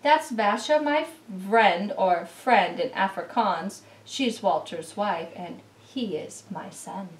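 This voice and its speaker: A middle-aged woman reading a rhyming picture book aloud to children in an expressive, sing-song way. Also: mid-range voice, slow pace, hardly any pauses. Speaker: read-aloud storyteller for children. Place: room at home beside a Christmas tree. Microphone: camera mic at some distance. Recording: roomy, distant camera recording.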